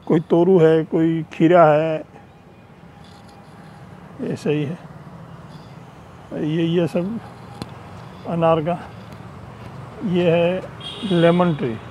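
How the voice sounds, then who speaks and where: A man's voice: fairly high pitch, flat delivery, extremely slow, pauses often, mellow, highs cut off, a little hiss or hum. A man giving a chatty, casual tour of his rooftop terrace garden, filmed on a handheld phone outdoors. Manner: chatty, pointing things out. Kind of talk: casual walkthrough narration. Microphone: handheld phone camera.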